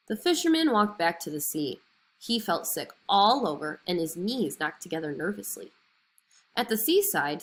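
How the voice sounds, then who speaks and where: A woman reading a children's fairy tale aloud as an expressive storyteller. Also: fairly low pitch. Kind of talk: expressive scripted story narration.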